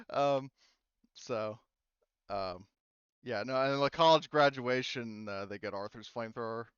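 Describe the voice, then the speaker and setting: A man with a smooth, clear voice narrates as game master of a tabletop game, rambling with plenty of fillers and restarts. The sound is dry and a touch dull, like a home mic with the highs rolled off. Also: takes it slowly, pauses often, a bit bright, no room sound.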